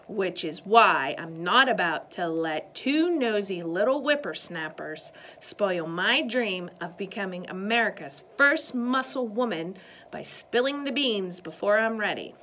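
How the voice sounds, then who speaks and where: A woman doing a gruff, bossy muscle woman. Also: medium pitch, lively intonation, a little slow, a little hiss or hum, low-quality recording. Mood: demanding. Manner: forceful, commanding, indignant.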